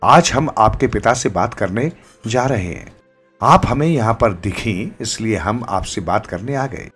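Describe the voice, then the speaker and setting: A man's voice: lowish voice, animated, a little slow, a bit bright, slightly rough, soft background music, full character voice. A man voicing a courteous young prince and suitor, speaking formally and politely in a told story.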